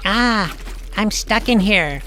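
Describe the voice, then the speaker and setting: Audio drama schoolgirl acted by a woman, whiny, disgusted, blunt, very drawn-out delivery, middling pitch, music underneath. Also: animated, bright, forceful tone, a little hiss or hum. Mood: panicked. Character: disgusted, grumbling junior-high girl, blunt and bratty.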